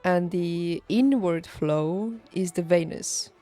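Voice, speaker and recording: A woman game streamer chatting casually, thinking aloud haltingly and laughing easily, as if working out each thought while she talks. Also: lowish voice, warm tone. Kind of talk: casual livestream chat over gameplay. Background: game music.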